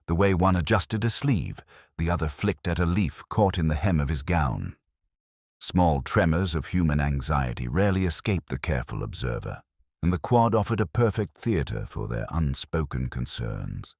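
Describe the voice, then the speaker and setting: A man reading a scripted first-person detective story in a measured, formal, literary manner. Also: a bass voice, dry recording, clean studio sound. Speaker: narrator.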